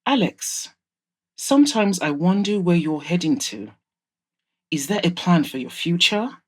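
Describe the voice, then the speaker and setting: Woman playing an exasperated, sharp-tongued rich mother. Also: fairly low pitch, sing-song, a little slow, slightly rough, heavily acted. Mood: worried, reproachful. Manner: scolding, sarcastic, emphatic. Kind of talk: audio drama storytelling.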